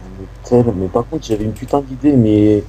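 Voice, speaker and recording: A man's voice: lowish voice, moderate pace, warm tone, rough recording. A young man in a casual chat on an internet call, rambling and slangy as he talks. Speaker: prank caller's friend. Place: Skype call. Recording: faint background noise on internet call.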